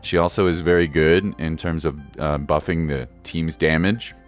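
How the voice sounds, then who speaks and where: A man's voice: extremely low pitch, steady tone, moderate pace, faint music behind. A man streaming a game and chatting casually with viewers, slangy and reassuring, repeating his points for emphasis. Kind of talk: casual livestream chat with viewers.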